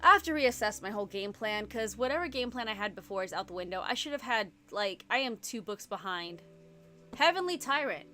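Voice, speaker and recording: A woman's voice: medium pitch, expressive, pushed and bright, soft background music. A woman from Puerto Rico hosting a casual reading-sprint live stream from her home computer, chatty and rambling with lots of "like.". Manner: chatty and rambling, fast-talking with frequent "like".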